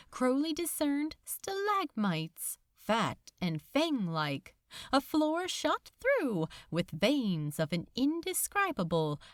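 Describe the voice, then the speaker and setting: Woman narrating fiction at a slow, dramatic pace. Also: mid-range voice, dry recording, clean studio sound. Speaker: audiobook narrator.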